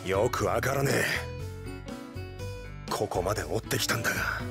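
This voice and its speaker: A man voicing a brash, excitable teenage delinquent in an anime game, dramatic and roughly casual in speech. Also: on the low side, moderate pace, music in the background, lightly acted. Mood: puzzled.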